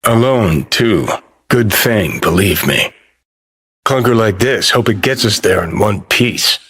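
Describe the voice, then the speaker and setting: An American man playing a cynical, foul-mouthed, world-weary rebel rock star in a video game, with a gravelly, husky voice and a clipped, sardonic, swaggering drawl. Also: fairly low pitch, a bit bright, exaggerated voice. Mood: sardonic, mocking. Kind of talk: video game character dialogue.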